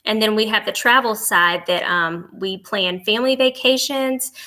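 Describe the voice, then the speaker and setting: A woman with a bright, forward voice of middling pitch answers as an interview guest. She is informal and agreeable, explaining and promoting travel services in a conversational way. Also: moderate pace. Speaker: podcast guest, travel agency executive.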